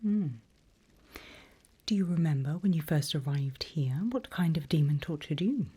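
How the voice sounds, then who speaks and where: A woman whispering an ASMR roleplay as a seasoned demon mentor, slow and deliberate, with playfully sly menace, close to the microphone. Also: low-pitched, animated, warm tone, husky.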